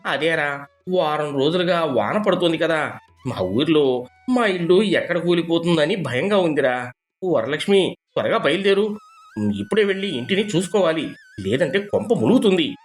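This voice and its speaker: A man's voice: fairly high pitch, lively intonation, moderate pace, faint music behind, natural voice. A man voicing a cowardly, falsely concerned brother-in-law in an exaggerated, flustered way for a moral story. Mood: flustered, evasive. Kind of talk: dramatized moral story acting.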